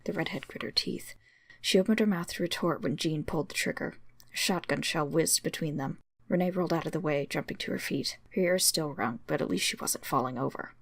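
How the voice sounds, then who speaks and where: A woman's voice: low voice, brisk, gravelly, no echo. A woman giving a dramatic scripted narration as she reads a story. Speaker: story reader.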